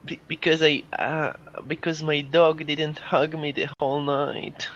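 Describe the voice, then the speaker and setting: A man playing a troll caller with a put-on accent, drawling slowly and calling everyone baby. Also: fairly high pitch, level tone, fairly bright tone, muffled recording. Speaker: prank caller.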